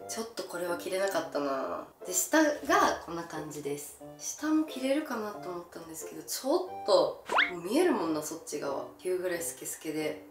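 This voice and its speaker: A young woman chatting casually to camera as she presents, with an exclamatory, bashful manner. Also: mid-range voice. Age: young adult. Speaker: gravure idol presenting outfits. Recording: roomy echo from camera distance. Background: faint music.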